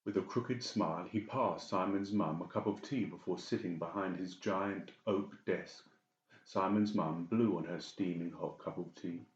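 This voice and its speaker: Male storyteller for dramatised audiobook, lowish pitch, roomy and slightly muffled capture. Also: unhurried. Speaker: audiobook narrator. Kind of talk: dramatic scripted narration. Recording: dull, echoey room recording.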